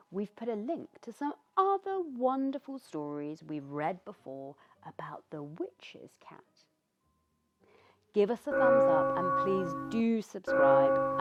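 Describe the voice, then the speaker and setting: A British woman reading a children's story aloud in an expressive, theatrical way, with asides to the young listener. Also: mid-range voice. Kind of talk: animated read-aloud narration for young children. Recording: dull lo-fi sound with background music. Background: music.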